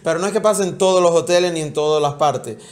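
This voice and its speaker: A man's voice: a little high, fast talker. A young man co-hosting a casual reaction video indoors, chatty and plugging the channel. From Cuba. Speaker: co-host. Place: indoor room with red curtain.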